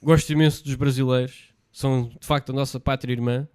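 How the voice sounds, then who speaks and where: A man's voice: medium pitch, level tone, fairly quick, darkish tone, clear, resonant tone, no room sound, studio quality. A man with a European Portuguese accent co-hosting a casual political chat, blunt and scornful, often repeating himself. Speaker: podcast co-host.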